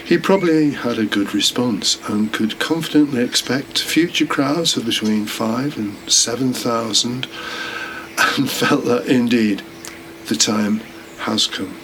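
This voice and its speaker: A man's voice: mid-range voice, measured pace. A man delivering a scripted, sermon-like religious reflection as a podcast host. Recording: clear background noise.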